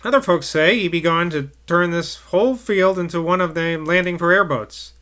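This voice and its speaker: A man's voice: high-pitched, normal speed, fairly bright tone, voice pushed up. A man voicing a grumpy old rural man who growls in a thick country dialect. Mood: storytelling. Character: grumpy old rustic man, ogre-like mutterer.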